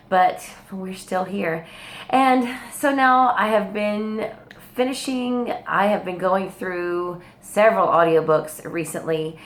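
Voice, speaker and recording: A woman's voice: mid-range voice, reverberant. A woman chatting casually to viewers as a book vlogger, rambling hesitantly, often trailing off and backtracking. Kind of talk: casual rambling video chat. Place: hospital room.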